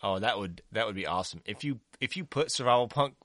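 Bright-voiced Southern man bantering on a podcast. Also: fairly low pitch, expressive, quick pace, no room sound, clean studio sound. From Southern United States. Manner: joking, profane, rambling with restarts. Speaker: podcast co-host.

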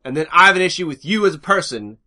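A man chatting as a podcast co-host, rambling and halting, restarting his thoughts as he goes. His voice is bright and sharp, sitting slightly high for a man. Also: expressive. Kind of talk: casual podcast chat.

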